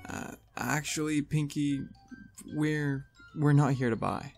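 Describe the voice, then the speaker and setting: A man's voice: fairly high pitch, steady tone, slow, creaky, darkish tone, faint music behind. A man voicing a polite, hesitant young male pony, a protective older brother in an audio story. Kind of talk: character acting in fan audio story.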